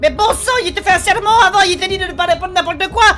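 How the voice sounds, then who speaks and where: A woman's voice: very high voice, fast talker, fairly bright tone. A woman playing an irate male adventurer in a comic fantasy audio drama, scolding and mocking in exclamations. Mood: exasperated. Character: irate, sarcastic male adventurer, quick to insult.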